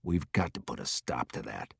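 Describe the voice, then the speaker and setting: A man voicing a terse, stern army general in an audiobook, muttering flatly with little emotion. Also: normal speed, gravelly, breathy edge, no room sound, studio quality, exaggerated voice. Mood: muttered irritation. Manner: muttering, low and flat, unemotional. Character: terse, stern, quietly commanding middle-aged army general.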